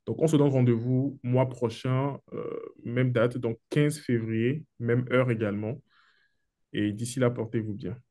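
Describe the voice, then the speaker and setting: Male moderator for a webinar, mellow low-key voice, courteous and formal. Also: fairly even intonation, measured pace. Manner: courteous and formal, addresses officials by title.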